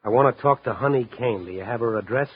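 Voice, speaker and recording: An actor playing a tough, dogged night-beat newspaper reporter in a 1950s radio drama, narrating and talking in a terse, urgent, hard-boiled way. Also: male voice, mid-range voice, fast, narrow, tinny audio, slight background noise, close to an everyday voice. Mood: impatient, insistent.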